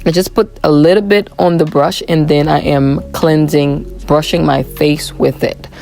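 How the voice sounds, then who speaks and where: Woman, vlogger voiceover, hoarse from a cold, low gravelly voice, casual with fillers. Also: measured pace. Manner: relaxed, chatty, many "um" fillers. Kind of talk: voiceover narrating a morning routine.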